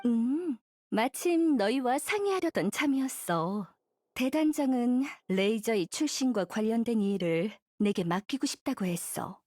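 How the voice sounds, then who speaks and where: A woman playing an elegant, languid witch librarian in an anime game, coy and unhurried, with a gently caring, teasing manner. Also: on the high side, lively intonation, dry recording, studio quality. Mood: thoughtful. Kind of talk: Korean anime game voice acting.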